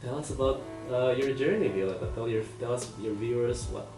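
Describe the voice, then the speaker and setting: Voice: medium pitch, a little fast. Interview host in an echoey room with music. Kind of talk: conversational interview hosting. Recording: echoey room, distant mic, background music.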